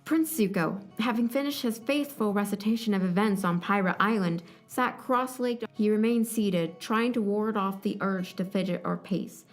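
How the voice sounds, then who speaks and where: Woman narrating a story over soft music. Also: mid-range voice.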